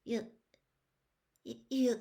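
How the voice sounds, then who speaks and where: A woman's voice: extremely slow, dark tone. A woman voicing a fearful, sulky, servile male henchman in a storybook, panicky and stammering. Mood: terrified. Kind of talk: storybook character read aloud.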